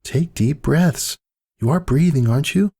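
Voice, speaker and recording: A man voicing a brisk, loyal female pegasus helper, hushed and coaxing, in a storybook reading. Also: fairly high pitch, gravelly, slightly rough, voice pushed up, full character voice.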